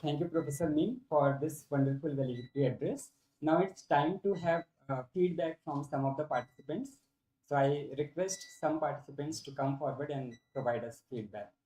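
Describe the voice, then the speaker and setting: A man's voice: a little high, moderate pace, mellow. A man hosting a formal ceremony, introducing and thanking speakers. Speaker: event host. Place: indoor hall at a university ceremony. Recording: echoey room.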